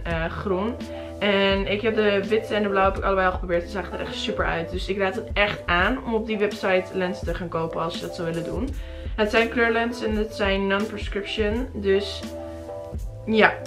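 A young woman, chatting casually to camera in her bedroom, a little sleepy and raspy, rambling with many fillers and restarts. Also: mid-range voice. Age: young adult. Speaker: beauty vlogger. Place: small bedroom indoors. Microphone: camera in front at close range.

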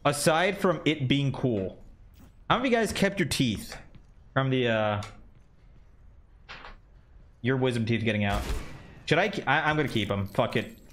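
A man's voice: medium pitch, expressive, measured pace, pauses often, a bit bright. A man in his mid-twenties talks casually at his desk while gaming, rambling through thoughts with plenty of "like" and "um". He swears freely and sounds offhand. A faint hum sits behind his voice. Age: 20s. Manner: rambling, profane, many fillers. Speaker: gaming streamer. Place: home desk room.

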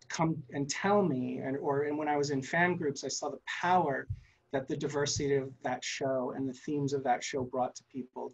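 A man speaking earnestly and haltingly in a panel discussion on a video call, drawing out phrases. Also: medium pitch, low-quality recording. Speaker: animation creator on a panel.

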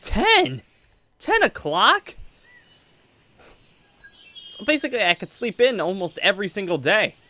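Excitable teenage boy, swooping pitch acted by a man. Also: unhurried, pauses often, heavily acted. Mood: surprised, cheeky. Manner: eager, casual, questioning. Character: excitable teenage son, new academy student, curious. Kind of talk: improvised Minecraft anime roleplay.

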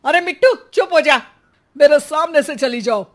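An old father from an animated cartoon, played by a performer, upright and easily exasperated. He chides and moralizes like the head of the household. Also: high voice, normal speed. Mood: indignant. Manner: chiding, moralizing. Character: principled, exasperated elderly father, grey-haired family patriarch.

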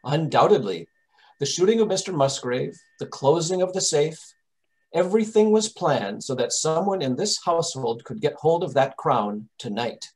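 A man playing a shrewd, composed English gentleman detective in old-time radio mystery style, formal and precise. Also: fairly high pitch, lively intonation, moderate pace, low-quality recording. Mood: assured, explaining.

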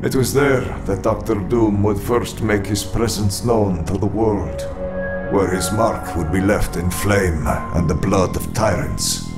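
Imperious armored tyrant male actor, solemn motion comic narration, deep and unhurried, loud score underneath. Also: loud music behind the voice, close to an everyday voice. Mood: solemn, cold. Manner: grandiose, solemn, deliberate. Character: cold imperious armored villain recounting his origins.

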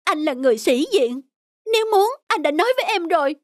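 High-voiced, emotional young wife. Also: female voice, lively intonation, fast, no room sound, clean studio sound. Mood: hurt, reproachful. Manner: emotional, reproachful. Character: caring young wife, indignant when wrongly accused. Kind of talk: animated drama dubbing. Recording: music behind the voice.

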